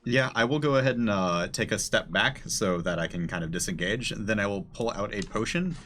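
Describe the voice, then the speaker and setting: Bright, low-pitched man playing a tabletop game. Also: brisk. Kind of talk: casual improvised game chat.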